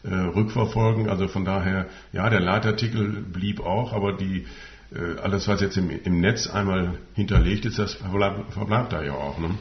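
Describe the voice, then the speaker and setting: A man's voice: deep voice, fairly even intonation, normal speed, echoey room, lo-fi sound. A man hosting a podcast interview, asking long, winding questions with many fillers. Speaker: podcast host.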